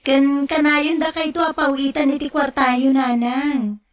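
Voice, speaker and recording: High-voiced, kind daughter-in-law. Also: female voice, fairly even intonation, measured pace, distant mic. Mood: kind, reassuring. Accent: Ilocano. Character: kind, generous young daughter-in-law living abroad. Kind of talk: radio drama acting.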